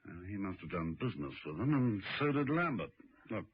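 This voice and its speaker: An actor playing a suave, wry gentleman secret agent in a radio drama, urbane and dryly teasing. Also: on the low side, sing-song, brisk, husky, heavily acted. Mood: wry musing. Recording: dull, noisy old radio recording.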